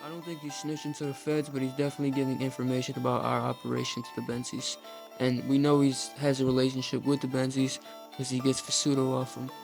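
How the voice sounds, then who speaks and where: A man's voice: mid-range voice, flat delivery, fast talker, vocal fry, low-quality recording. A nineteen-year-old man narrating a scripted video about video game lore. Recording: music behind the voice. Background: plucked guitar music.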